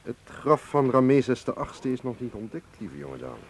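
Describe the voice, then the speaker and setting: An actor in a radio play voicing a suave, gallant but guarded Russian museum man, speaking in an urbane, teasing, deliberate way. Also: mid-range voice, steady tone, vocal fry. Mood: guarded, intrigued. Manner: urbane, teasing, deliberate, with small questioning hums. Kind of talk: radio drama acting. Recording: noisy radio play recording.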